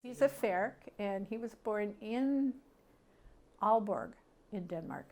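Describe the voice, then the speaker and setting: A middle-aged woman from Canada sharing personal memories casually as an interviewee, thinking aloud in a halting, conversational way. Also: medium pitch, lively intonation, darkish tone.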